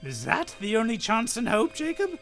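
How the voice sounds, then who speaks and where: A woman's voice: a little high, normal speed, faint music behind. A woman playing a frightened, stammering old miser in a radio play. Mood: reluctant. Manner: stammering, pleading, excitable.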